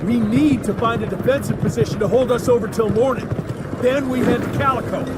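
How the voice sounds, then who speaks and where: An actor playing a determined young monster hunter in a western video game, brusque and urgent. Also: male voice, very high-pitched, fairly quick. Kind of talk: video game cutscene acting. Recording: loud action noise behind.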